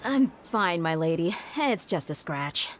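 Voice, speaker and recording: A woman's voice: lowish voice, very animated, a little slow, background noise. A woman voicing a tough, protective young mercenary with a casual, direct and confident manner in a video game. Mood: reassuring.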